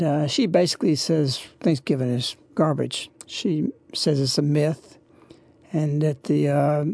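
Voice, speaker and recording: A radio host with a creaky, gravelly voice at a middling pitch, recorded close and dry. The talk is informal and devotional, wandering along in a reverent way. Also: darkish tone, no room sound.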